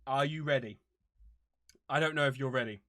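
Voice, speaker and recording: A man's voice: medium pitch, moderate pace, bright, forceful tone, no echo. A man of about thirty chatting casually to viewers as a channel host, in a playful, theatrically mock-serious way. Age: around 30.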